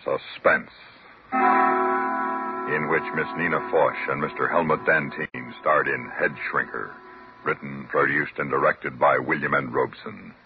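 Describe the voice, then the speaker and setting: A man's voice: very deep voice, steady tone, a little slow. A man announcing scripted credits for a 1950s radio drama, in the style of a program announcer. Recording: tinny narrow-band vintage radio recording. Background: music.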